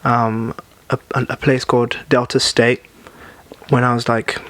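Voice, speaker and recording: Young nigerian guy on a podcast, lots of ums, middle pitch, dry sound. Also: little pitch movement, measured pace, fairly bright tone, no room sound. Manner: easygoing, many "um"s and restarts. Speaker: guest singer. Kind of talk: casual podcast chat.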